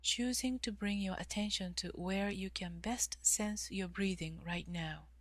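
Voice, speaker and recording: A woman leading a guided meditation in a calm, measured way, with long pauses and soft, breathy phrase endings. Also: medium pitch, no echo. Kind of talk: guided meditation, slow instruction.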